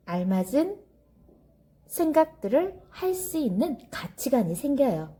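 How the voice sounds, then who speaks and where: A woman's voice: on the high side, mellow. A woman teacher reading stories to children and explaining them in an instructive way, addressing her young listeners directly. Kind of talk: children's story reading with commentary.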